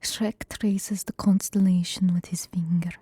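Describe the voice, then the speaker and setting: A woman whispers her way through a script read, adding chatty asides. Her voice is breathy and soft-toned, slow-paced. The sound is close and dry. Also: fairly low pitch, level tone, no echo. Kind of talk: casual fan table read.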